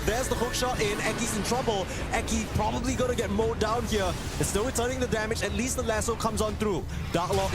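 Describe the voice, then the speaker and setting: A man commentating live on an esports match, moving between conversational analysis and play-by-play, with music behind him. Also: high voice.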